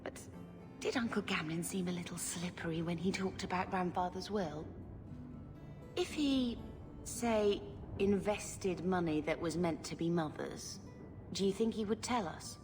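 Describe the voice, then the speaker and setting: An actress playing an earnest, concerned young woman in a fantasy game, gentle and questioning. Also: on the low side, unhurried, in a large hall, over music, lightly acted. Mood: doubtful. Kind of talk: fantasy video game acting.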